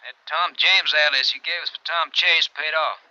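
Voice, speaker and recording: Piercing telephone-filtered police lieutenant. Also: male voice, a little high, moderate pace, sharp tone. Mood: businesslike. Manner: terse, businesslike. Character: businesslike male police lieutenant reporting by telephone. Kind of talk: 1950s American radio drama acting. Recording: dull vintage radio, telephone effect.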